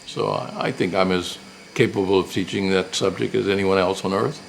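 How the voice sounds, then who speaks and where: A man's voice: deep voice, fairly even intonation, normal speed, gravelly. An older man, a retired professor and writer, reminiscing casually in an interview, rambling and repeating phrases for emphasis.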